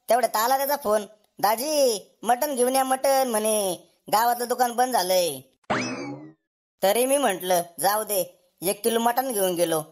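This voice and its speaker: A woman's voice: a little high, darkish tone, close to an everyday voice. A woman voicing a sarcastic, complaining husband fed up with his brother-in-law in a comedy cartoon. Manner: mocking, complaining.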